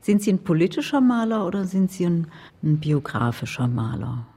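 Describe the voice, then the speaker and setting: A woman works as an interviewer, delivering prepared questions in a concise, probing way. Her voice sits rather low and has a dark, relaxed tone. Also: moderate pace, slightly rough.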